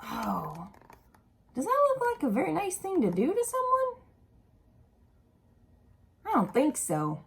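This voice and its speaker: Woman, higher-pitched and slow-paced, for an animated children's read-aloud with simple questions to young listeners. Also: gravelly. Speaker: early childhood educator reading aloud. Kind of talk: expressive picture-book read-aloud with asides to young children.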